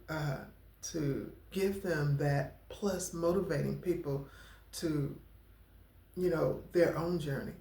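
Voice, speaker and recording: A woman vlogger who talks slowly and haltingly, with a stutter and many fillers, and gets emotional at times. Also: on the low side, darkish tone, roomy sound. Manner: emotional, near tears at times. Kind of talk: personal talking-head vlog.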